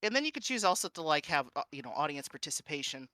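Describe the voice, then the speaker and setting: Bright, higher-pitched man giving an informal talk. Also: very animated, dry recording. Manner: halting, self-interrupting, peppered with "you know". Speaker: streamer presenting a panel.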